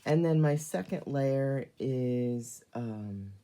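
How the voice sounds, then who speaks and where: Hoarse, very low-voiced woman craft host. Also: expressive, drawn-out words, mellow. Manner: chatty, many ums, greets viewers by name. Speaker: card-making demonstrator hosting a live stream. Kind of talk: casual live craft demonstration.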